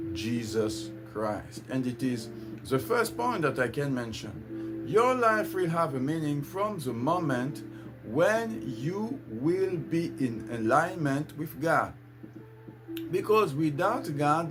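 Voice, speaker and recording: Earnest man preaching over guitar music. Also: a little high. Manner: earnest, repetitive, preacher-like cadence. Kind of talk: faith-based motivational talk.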